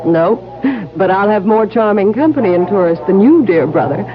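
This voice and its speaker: A woman's voice: mid-range voice, animated, normal speed, over music, close to an everyday voice. A woman playing an affectionate, candid young woman in love in a 1970s radio drama. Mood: teasing, affectionate. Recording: muffled, noisy old radio recording.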